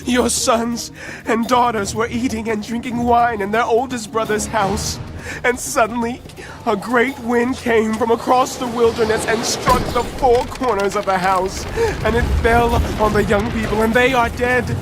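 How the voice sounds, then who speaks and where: Flat, shaken messenger woman, acted. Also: fairly high pitch, measured pace, music in the background, lightly acted. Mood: grave, shaken. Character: grave servant messenger bearing tragic news.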